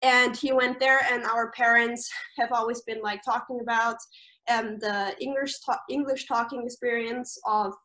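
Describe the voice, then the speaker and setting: A woman's voice: a little high, fairly even intonation, normal speed, pushed and bright. A young woman from Austria, a native German speaker with an Austrian German accent, chatting casually about camp in an interview over a video call, full of fillers and restarts. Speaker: camp staff member.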